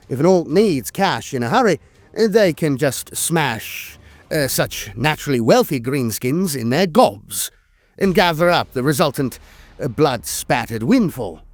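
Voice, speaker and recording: A man reading scripted fantasy war lore as a narrator, in a theatrical, deliberate manner with pauses and hesitant filler sounds. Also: on the high side, no room sound. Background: music.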